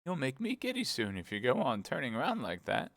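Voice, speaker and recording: A man voicing an old sheep keeping a shop, prim and tart, in a high, slow voice. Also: wildly swooping pitch, gravelly, fairly bright tone, no room sound, heavily acted.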